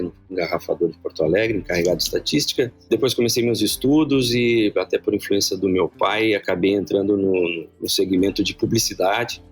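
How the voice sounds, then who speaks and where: A man in his fifties with a Gaúcho Brazilian accent, a guest telling his career story in a relaxed, self-deprecating way. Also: sits low, husky. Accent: Gaúcho Brazilian Portuguese. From Rio Grande do Sul, Brazil. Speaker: guest, brand director. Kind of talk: casual interview chat.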